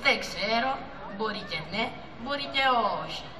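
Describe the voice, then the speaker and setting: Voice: medium pitch, expressive, crowd noise, close to an everyday voice. A young teenager playing a deceitful male dogfish who poses as a friend in an amateur school puppet play. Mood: evasive. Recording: distant, echoey hall with audience noise.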